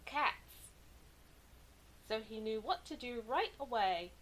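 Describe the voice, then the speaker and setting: A woman reading a children's story aloud with animated, deliberate, dramatic expression. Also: fairly high pitch, a little hiss or hum, low-quality recording. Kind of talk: expressive picture-book narration for kids.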